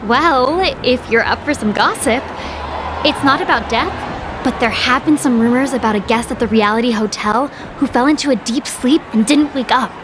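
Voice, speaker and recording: Actress as sweet young singer, high voice, polite, earnest, game dialogue, music behind. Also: female voice, normal speed, close to an everyday voice. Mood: polite, hesitant. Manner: gushing, polite, gentle. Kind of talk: scripted video game voice acting. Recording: background music under the voice.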